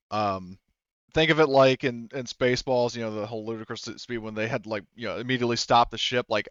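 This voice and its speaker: A man's voice: mid-range voice, fairly bright tone, clean tone, dry recording, dull audio. A man casually explaining things during a tabletop roleplaying game, talky and thinking aloud.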